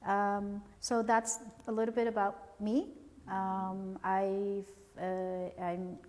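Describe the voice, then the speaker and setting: A middle-aged woman speaks in a measured, polite way and pauses often between thoughts. Her voice sits at a mid pitch. A long hall reverb trails after each phrase. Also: level tone, darkish tone. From born in Cambodia, raised in the United States. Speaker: guest, company founder and CEO. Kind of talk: interview answers.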